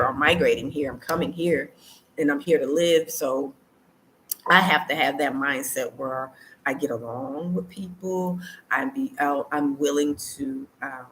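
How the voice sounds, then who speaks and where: A low-pitched American woman talks casually to her online viewers. She is conversational and often repeats a phrase to stress it. A light layer of ambient noise sits behind her voice. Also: a bit bright, rough recording. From United States. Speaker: vlogger hosting a live stream.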